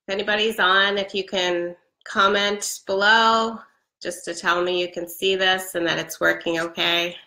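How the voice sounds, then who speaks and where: A woman's voice: medium pitch, level tone, moderate pace, bright, forceful tone. Congested woman in her forties, chatty and upbeat on a webcam live stream, roomy echo. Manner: chatty, upbeat, many ums, laughs often. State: congested from a head cold. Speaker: product seller. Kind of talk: casual live stream chat. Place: living room with a Christmas tree. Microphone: webcam at close range. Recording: echoey room.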